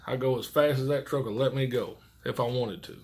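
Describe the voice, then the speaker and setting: A man's voice: mid-range voice, brisk. A middle-aged man, a truck driver chatting casually and folksily in a rambling way to his viewers. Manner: folksy, rambling, mild swearing. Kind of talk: casual chat to camera.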